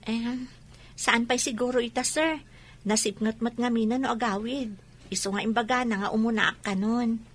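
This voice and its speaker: A woman's voice: a little high, fairly even intonation, moderate pace, close to an everyday voice. A woman with an Ilocano Filipino accent playing a friendly, caring young woman in an audio drama. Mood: polite. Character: friendly, caring young woman named Sally, respectful and teasing. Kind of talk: amateur audio drama acting.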